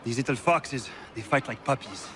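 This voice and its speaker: An actor playing a boastful, hot-blooded colonial rebel in a game cutscene, with a bold, combative manner. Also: male voice, mid-range voice, moderate pace, background noise, lightly acted. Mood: swaggering, jovial. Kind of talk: dramatic game cutscene acting.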